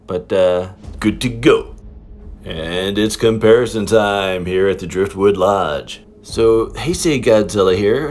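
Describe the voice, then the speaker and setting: A man's voice: lowish voice, a little hiss or hum. A man hosting a casual toy review in a playful, informal, slangy way, commenting as he handles figures.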